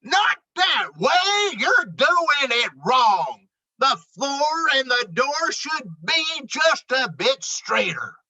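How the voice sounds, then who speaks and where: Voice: high-pitched, big pitch swings, drawn-out words, sharp tone, voice pushed up, exaggerated voice, low-quality recording. A woman voicing a bossy, easily panicked male moose foreman in a children's storybook, with dramatic, commanding exclamations. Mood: bossy, boastful.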